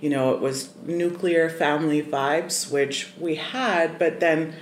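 A young adult guest from Zimbabwe, telling a personal story in a thoughtful, measured way with many pauses and ums. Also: mid-range voice. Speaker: podcast guest. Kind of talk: casual interview storytelling. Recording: roomy echoey room, faint noise.

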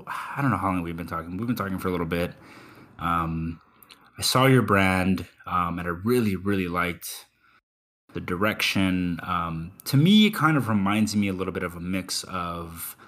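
A young man hosts his podcast in a loose, laid-back way, tossing in swears and plenty of ums. His voice sits very low. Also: fairly bright tone. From Texas. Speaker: podcast host. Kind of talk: casual interview chat.

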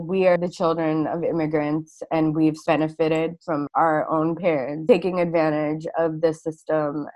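A woman's voice: low voice, dry recording. A woman in her late twenties with an American accent, co-hosting a conversational podcast discussion. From India-born, grew up in the United States. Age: late 20s. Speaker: podcast co-host.

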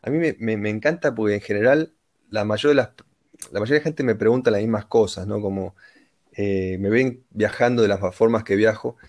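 A man's voice: on the low side, brisk, darkish tone. A man with an Argentine Rioplatense accent, talking reflectively and philosophically in a casual conversation.

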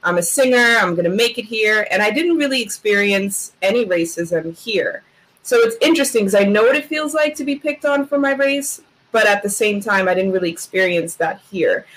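A woman's voice: medium pitch, lively intonation, moderate pace, a bit bright, poor audio. A woman raised in the Netherlands, hosting a podcast in casual interview chat, earnest and full of fillers like "um" and "literally". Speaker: podcast host.

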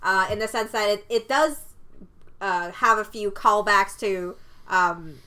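Giggly bright-voiced woman chatting about films. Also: medium pitch, a little slow. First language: Cantonese. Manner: chatty, laughs often, many restarts. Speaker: film review co-host. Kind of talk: casual chat.

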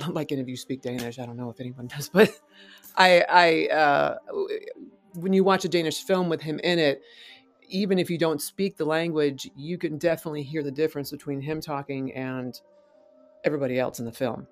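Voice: mid-range voice, fairly even intonation, moderate pace, soft background music. A person chatting eagerly about films, laughing often and stammering over restarts. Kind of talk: casual chat about TV and film.

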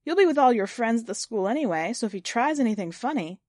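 Lady reading as an old grandma in a story, nudging and eager, higher voice, dry recording. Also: lively intonation, fast, darkish tone, no echo.

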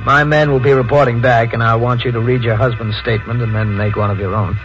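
An actor playing a matter-of-fact police detective in a 1940s radio drama. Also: male voice, medium pitch, fairly even intonation, fast, soft background music, close to an everyday voice. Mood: businesslike. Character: gruff, weary police detective. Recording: lo-fi old radio recording with faint hiss.

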